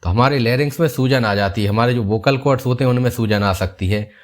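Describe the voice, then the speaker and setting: A man, a doctor calmly explaining a health topic step by step in an online video. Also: medium pitch, quick pace. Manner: calm, steady explaining, repeats key points.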